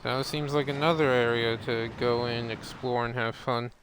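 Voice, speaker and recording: A man's voice: medium pitch, steady tone, normal speed, a bit bright, a little hiss or hum, poor audio. A man giving casual live commentary as he plays a game, laid-back and half talking to himself. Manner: laid-back, muttering to himself, reading screen text. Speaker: game streamer.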